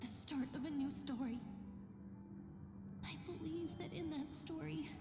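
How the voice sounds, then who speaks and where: High, soft, wistful young game heroine. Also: female voice. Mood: wistful, solemn. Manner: slow, tender, measured storytelling. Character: gentle wistful young female guide looking back on sacrifice. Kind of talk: scripted game story voice acting. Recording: echoey long reverb, loud music behind.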